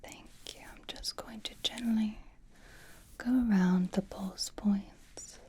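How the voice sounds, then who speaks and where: A woman's voice: medium pitch, steady tone, takes it slowly, a little mellow, heavily acted. A woman voicing a possessive, flirtatious vampire in an intimate, teasing whispered ASMR roleplay, with dry exasperation. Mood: teasing, seductive. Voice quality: breathy whisper. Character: possessive, flirtatious vampire doting on captive vampire hunter.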